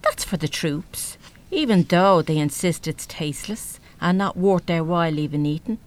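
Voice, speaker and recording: A woman's voice: fairly low pitch, animated, moderate pace, natural voice. An actress playing a weary, no-nonsense older working-class mother in a live radio play, with an Irish accent and a blunt, wry, grumbling manner. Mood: gruff, exasperated.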